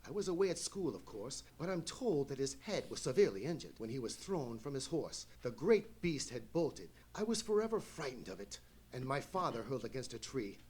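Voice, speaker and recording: A man playing an anxious, courteous English lord in a live radio play, with a husky voice and formal, florid speech. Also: mid-range voice, big pitch swings, brisk, a little mellow. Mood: haunted, earnest. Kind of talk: live old-time radio play acting.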